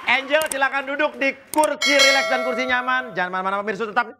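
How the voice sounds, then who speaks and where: A man's voice: extremely high pitch, fairly even intonation, quick pace, a loud crowd, heavy background noise. A man hosting a comedy variety show, teasing and questioning guests with a laughing, playful manner. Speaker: host.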